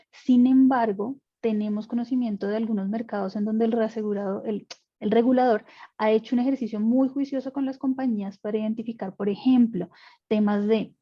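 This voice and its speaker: A woman's voice: mid-range voice, muffled recording. A woman panelist giving a technical explanation on an online webinar, talking things through with fillers and self-corrections. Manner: explanatory, with frequent fillers and restarts. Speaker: panelist, insurance expert.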